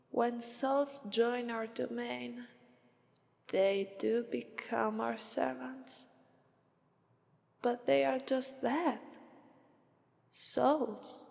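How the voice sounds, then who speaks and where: Woman acting a languid Italian-accented demoness, very slow. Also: a little high, creaky, full character voice. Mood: smug, detached. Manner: unhurried, drawling, detached. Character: languid, aloof female demon of Sloth, regally lazy. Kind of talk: ASMR character roleplay. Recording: long reverberant hall echo.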